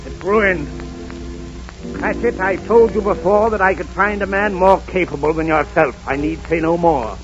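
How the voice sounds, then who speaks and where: A man's voice: sits high, normal speed, dull audio, over music, lightly acted. A man acting a bitter, miserly old employer with a sneering, curt manner in 1930s radio style. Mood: cold, stern.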